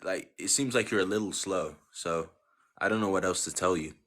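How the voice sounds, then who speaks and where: A man's voice: low voice, moderate pace, fairly bright tone. A man hosting a live-stream debate, grilling callers with repeated questions in a condescending tone. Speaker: debate host.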